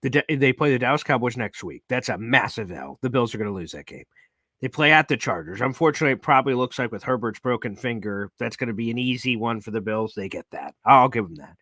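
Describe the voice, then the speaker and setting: A man hosting a live sports talk show, walking through standings with growing excitement. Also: medium pitch, quick pace, no room sound. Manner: excitable, hyped breakdown of standings.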